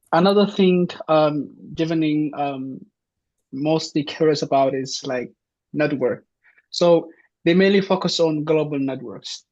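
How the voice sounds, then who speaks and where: A man from Somalia gives an informational talk to an online audience, pausing often with fillers and restarting his sentences. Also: on the high side, a little mellow. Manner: hesitant, many fillers and restarts. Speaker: presenter. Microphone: video call. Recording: dull lo-fi online session.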